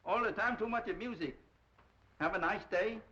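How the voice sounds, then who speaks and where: An actor playing an eager, obliging Chinese-restaurant waiter who talks in short, broken phrases, in 1930s film style. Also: male voice, sits high, normal speed, bright, forceful tone. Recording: dull 1930s film soundtrack with music.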